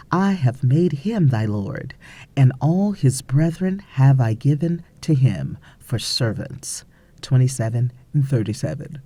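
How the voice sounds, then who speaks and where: A woman reading a spiritual self-help audiobook in a measured, deliberate way. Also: sits low, warm tone, husky, no room sound. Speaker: audiobook narrator.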